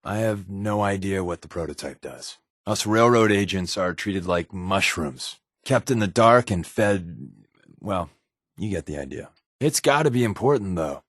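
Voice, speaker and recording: Game companion, wry secret agent. Lower-pitched, conversational, sardonic wisecracker who confides easily; dry studio sound. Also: male voice, lively intonation, unhurried, fairly bright tone, no echo, full character voice. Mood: wry, confiding. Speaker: video game companion character. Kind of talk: conversational video game voice acting.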